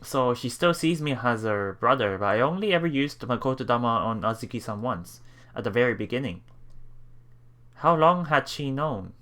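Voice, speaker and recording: A man hosting a let's play, reading game text aloud with casual, halting reactions and repeated words. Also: medium pitch, lively intonation, bright, forceful tone. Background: game music.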